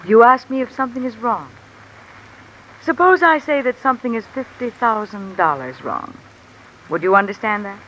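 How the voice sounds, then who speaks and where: Cool, calculating blackmailing wife, sharp-voiced. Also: female voice, a little high, moderate pace, lo-fi sound. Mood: coolly insinuating. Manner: insinuating, controlled, coolly commanding. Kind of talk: 1950s American radio mystery acting. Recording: old radio recording with steady rain noise. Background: rain falling.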